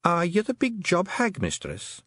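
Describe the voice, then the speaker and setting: A man's voice: sits high, huge pitch swings, a little slow, a bit bright, no room sound, studio quality, pitched above the performer's own voice, heavily acted. Proud little Scottish pixie woman, as a man puts her on. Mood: formal. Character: small proud pixie woman, formal messenger.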